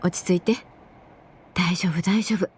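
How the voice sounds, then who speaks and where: A woman's voice: on the low side, fairly even intonation, measured pace, a little mellow, husky. A woman playing a refined, kindly nurse in her late fifties in a radio drama, composed and soothing. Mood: calm, reassuring.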